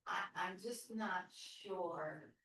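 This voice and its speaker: Woman, lower voice, hesitant, thoughtful, group discussion, very echoey room, distant. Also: extremely slow, pushed and bright, slight background noise. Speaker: group participant.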